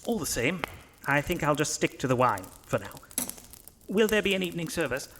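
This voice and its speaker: A man's voice: on the high side, very animated, fairly quick, a bit bright, a little hiss or hum. A man playing a devout, hesitant priest in a horror audio drama, polite and formal with a slightly pompous turn of phrase. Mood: gracious.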